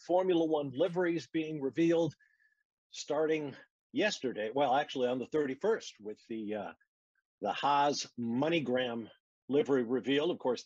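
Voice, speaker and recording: A man's voice: fairly high pitch, darkish tone, slightly rough, no echo. A man doing a broadcast motorsport interview as the interviewer, with a polished, wordy delivery and wry asides. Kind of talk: broadcast interview, scripted intro. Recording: dull, muffled lo-fi audio.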